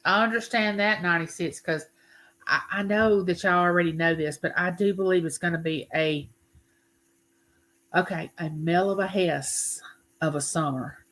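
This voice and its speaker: A woman in her fifties with a Southern US accent hosts a relaxed livestream chat, talking to viewers like neighbors. Her voice is bright and forceful, and her phrases are folksy and drawn out. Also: on the low side, normal speed. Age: 50s. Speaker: YouTube livestream host. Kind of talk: casual live chat with viewers.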